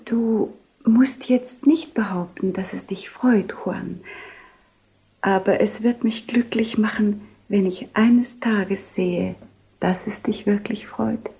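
A gentle, mellow-voiced duchess. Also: female voice, medium pitch, fairly even intonation, takes it slowly, gravelly. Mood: tender, subdued. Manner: soft, tender, restrained. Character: gentle, dignified duchess, a loving wife. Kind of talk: 1970 radio play acting.

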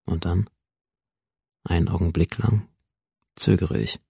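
Low, gravelly, alienated schoolboy narrator. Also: male voice, fairly even intonation, takes it slowly, mellow, no echo, studio quality, full character voice. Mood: tense, brooding. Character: cold, alienated teenage schoolboy narrating his violent inner world. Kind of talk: first-person radio drama monologue.

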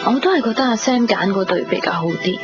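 A woman playing a sensible, gentle young friend with a Hong Kong accent, understated, in a 1990s radio drama. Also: medium pitch, fast, lightly acted. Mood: reassuring, amused. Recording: muffled 1990s radio broadcast under music.

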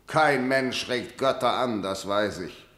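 An actor playing a proud, scornful, unyielding king in a radio verse drama, with a measured, declamatory delivery. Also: mid-range voice, fairly bright tone. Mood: defiant, threatening. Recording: roomy, reverberant radio play recording.

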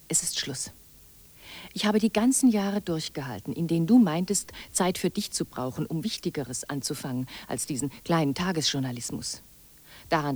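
Radio drama wife: disappointed, determined, articulate, lower register with a husky grain. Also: female voice, darkish tone. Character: disappointed, resolute wife writing to her husband. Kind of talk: radio drama letter monologue.

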